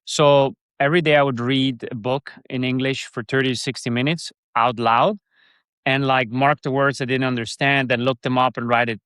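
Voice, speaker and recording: A man with a Swiss German accent chats as a podcast guest, circling his points with restarts and fillers. His voice sits in a middle pitch range. The sound is close and dry, with no room around it. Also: steady tone, moderate pace, a bit bright, smooth, no room sound. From Switzerland. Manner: rambling, many restarts and fillers. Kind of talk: casual interview chat.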